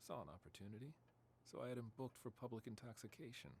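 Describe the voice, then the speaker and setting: A man's voice: mid-range voice, fast talker, a little mellow, a little hiss or hum, close to an everyday voice. A man playing a corrupt, self-assured senior police officer in an audio drama. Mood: controlled, assured.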